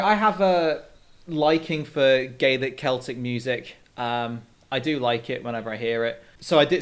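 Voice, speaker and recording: A male co-host with a mid-range voice, talking casually about music. His delivery is conversational and informal, as in an unscripted discussion. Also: mid-range voice.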